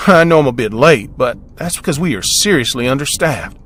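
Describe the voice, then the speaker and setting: A man's voice: medium pitch, expressive, a little fast, fairly bright tone, soft background music, natural voice. An actor with a Southern US accent playing a short, smiling, talkative delivery man. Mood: friendly.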